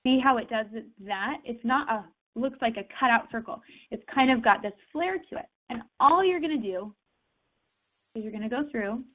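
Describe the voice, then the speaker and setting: A woman's voice: a little high, normal speed. A woman with a slightly raised voice talks her viewers through a tutorial in a loose, chatty way, full of fillers. She is fighting a cold and sniffles now and then. The sound is thin and tinny, like a phone line. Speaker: tutorial host. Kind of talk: casual screen-share tutorial.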